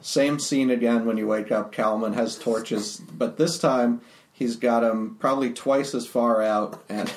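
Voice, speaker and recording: A man narrating as game master of a tabletop game, relaxed and unhurried with fillers, recorded with a roomy echo. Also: medium pitch. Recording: roomy echo, faint noise.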